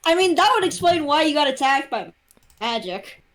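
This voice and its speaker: A woman's voice: sits high, big pitch swings, normal speed, a bit bright. A woman chatting casually as a guest on a livestream, blunt and teasing, with some swearing. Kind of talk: casual chat. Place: online voice call.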